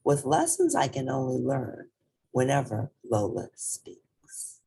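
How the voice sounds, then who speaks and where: A woman's voice: extremely low pitch, huge pitch swings, takes it slowly, creaky, dark tone, husky, full character voice. A woman voicing an earnest, affectionate and eager grandchild in a children's storybook. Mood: sincere, grateful.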